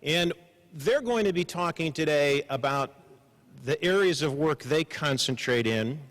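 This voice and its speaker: A man moderating a panel, giving formal introductions with dry humor, measured and pausing for emphasis. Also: on the high side, animated, a bit bright. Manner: measured, slows for emphasis, frequent uh fillers. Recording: long hall reverb.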